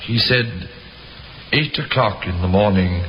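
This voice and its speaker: An actor playing a weary, earnest condemned prisoner in a 1950s radio drama, speaking in subdued, short phrases. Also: male voice, lowish voice, measured pace, lightly acted. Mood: weary, troubled. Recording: very noisy, dull old radio recording.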